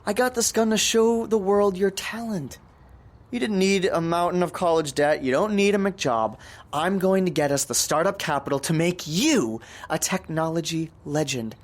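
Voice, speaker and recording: An actor playing a scheming, self-deluded young American entrepreneur turned kidnapper in an audio drama, talking in a glib, grandiose way. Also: male voice, high voice, normal speed, close to an everyday voice. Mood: grandiose, defiant.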